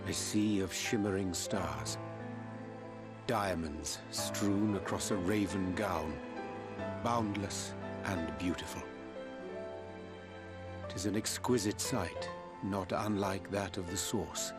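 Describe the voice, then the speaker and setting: A solemn, gentle man of a fantasy game who speaks in archaic, poetic phrases. His voice is low and very unhurried. Loud music swells behind him. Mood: solemn, tender. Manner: slow, archaic, poetic diction. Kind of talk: dramatic video game cutscene acting.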